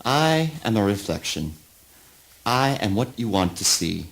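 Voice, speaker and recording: A man playing an enigmatic, fatherly oracle in a spoken word play, deliberate and probing. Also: fairly low pitch, sing-song, vocal fry, fairly bright tone, exaggerated voice, low-quality recording. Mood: cryptic, assured. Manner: cryptic, deliberate questioning.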